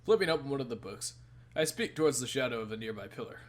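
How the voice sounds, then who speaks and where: A man's voice: medium pitch, expressive, fast talker. A man hosting a let's play, reading a visual novel's narration aloud with joking banter.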